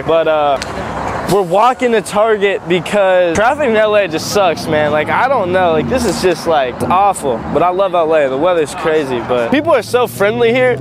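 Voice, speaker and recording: A young man making prank videos, talking in a casual, slangy, joking way. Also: high voice. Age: young adult. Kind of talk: casual vlog chat and deadpan pranking. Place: busy street and large stores. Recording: noisy location sound with traffic and ambience.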